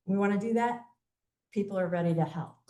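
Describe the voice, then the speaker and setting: A woman's voice: medium pitch, fairly quick, mellow. A woman giving an informal community talk and answering questions, conversational with frequent ums. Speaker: community volunteer speaker. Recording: echoey room.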